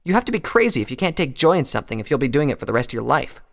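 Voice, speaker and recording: A man's voice: medium pitch, sing-song, rapid-fire, gravelly, voice pushed up. A man voicing a brash, taunting female pegasus pony with a harsh, scornful, emphatic delivery in a fantasy story. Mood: defiant, sneering.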